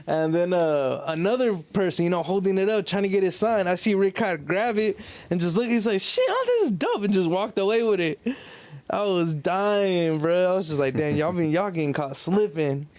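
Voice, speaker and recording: American man, high pitch, casual chat, slangy, easygoing anecdotes. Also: expressive, moderate pace, a little mellow, no room sound. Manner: slangy, easygoing storytelling with short agreeing interjections. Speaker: podcast host.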